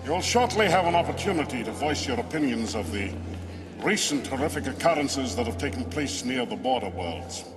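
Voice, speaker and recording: A man's voice: mid-range voice, expressive, fairly quick, lightly acted. A man playing a stern, dignified senator who presides over an assembly with solemn formal oratory in a film drama. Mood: commanding. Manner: declamatory, solemn. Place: large assembly hall. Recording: film soundtrack with music and crowd.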